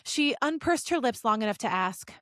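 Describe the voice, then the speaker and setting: A woman podcast host reading fan fiction aloud as an expressive story narrator. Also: on the high side, normal speed, dry recording, clean studio sound.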